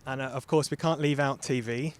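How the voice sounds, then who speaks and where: A man's voice: medium pitch, fairly even intonation, fairly quick. A man working as a radio interviewer, putting questions to guests. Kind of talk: upbeat celebrity interview questions.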